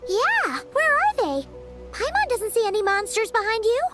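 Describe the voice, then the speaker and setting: A tiny fairy sidekick with an extremely high, childlike voice that bounces around in pitch. She is chatty, excitable and very expressive, in video game style. Prominent music plays underneath. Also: moderate pace, exaggerated voice. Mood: puzzled. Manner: refers to self in third person, expressive. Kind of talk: animated video game voice acting.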